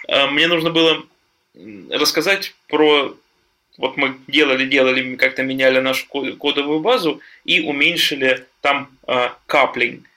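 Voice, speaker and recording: A man chatting casually on a panel, talking in a halting way with lots of fillers and restarts. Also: medium pitch, sharp tone. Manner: hesitant, many fillers and restarts. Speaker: podcast guest, software developer.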